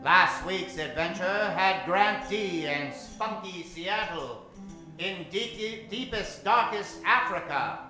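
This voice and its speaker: A man with a high voice plays the old-time radio showman, mock-grand and theatrical. He takes his time over his lines, and the room rings around him with music behind. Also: animated, takes it slowly, bright, forceful tone. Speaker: host, announcer and narrator of a live radio show. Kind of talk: old-time radio announcing with comic patter. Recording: roomy live stage sound with music.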